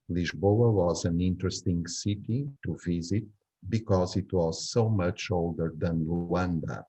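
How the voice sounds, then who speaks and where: A man's voice: low voice, mellow, rough recording. A man in his sixties from Angola, a native Portuguese speaker, reading his own memoir aloud. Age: 60s.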